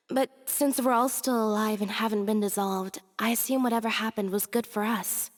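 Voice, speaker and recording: A woman's voice: medium pitch, steady tone, normal speed, in a large hall, slight background noise, lightly acted. An actress playing a relieved, matter-of-fact townswoman in a video game.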